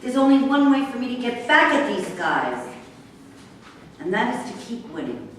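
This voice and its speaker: A woman's voice: a little high, very animated, normal speed. A woman reading the part of a stubborn, defiant young woman in a staged play. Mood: exasperated. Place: echoey room at a live staged reading. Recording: echoey room, far mic, faint noise.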